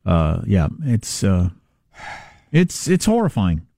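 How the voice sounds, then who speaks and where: Male American co-host, casual commentary, extremely expressive pitch swings, dry close studio sound. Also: takes it slowly, creaky, no echo. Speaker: radio talk show co-host. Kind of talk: casual talk radio commentary.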